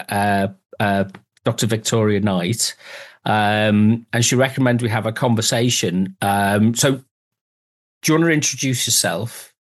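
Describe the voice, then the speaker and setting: A man from the UK hosting a podcast, chatting casually over a video call and stumbling over his words. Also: on the low side. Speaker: podcast host. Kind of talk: casual interview chat.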